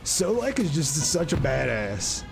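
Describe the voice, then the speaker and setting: A man's voice: fairly high pitch, very animated, measured pace, vocal fry. Informal male gamer over game music. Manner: informal, thinking aloud. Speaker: gaming video host. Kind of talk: casual gameplay commentary. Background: game music and sounds.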